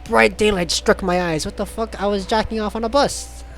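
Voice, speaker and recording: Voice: mid-range voice, fairly even intonation, normal speed. An irreverent, swearing speaker reading a visual novel aloud for comedy, stumbling over words and throwing in joking asides. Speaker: reader of the game text for the group. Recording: loud music under the voice.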